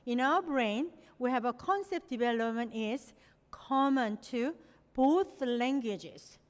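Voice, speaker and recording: A woman's voice: fairly high pitch, lively intonation, takes it slowly. A middle-aged woman from Korea, a native Korean speaker, giving an academic presentation with non-native phrasing and frequent "you know" fillers. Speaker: lecturer. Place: lecture hall. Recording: long hall reverb.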